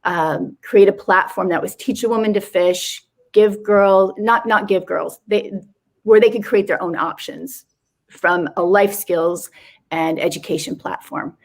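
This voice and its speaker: A woman on a live online panel describing her start-up work, speaking haltingly and correcting herself. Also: medium pitch, fairly even intonation, creaky. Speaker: panel guest, founder.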